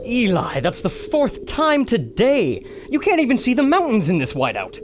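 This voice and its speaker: An actor playing an earnest, easily exasperated scholarly historian in an adventure radio serial. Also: male voice, extremely high pitch, huge pitch swings, normal speed, background noise, heavily acted.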